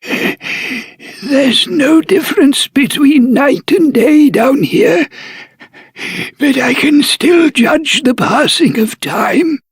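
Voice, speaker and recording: An actor playing a weary prisoner in a dungeon for a video game, halting and resigned. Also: sits high, animated, no echo, exaggerated voice.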